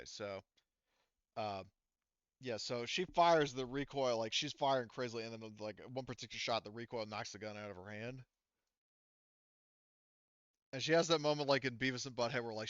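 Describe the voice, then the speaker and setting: A man's voice: mid-range voice, a little fast, a bit bright, clear, resonant tone, dry recording. A man running a tabletop roleplaying game as game master, narrating in a casual, colloquial way. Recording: dull, lo-fi sound.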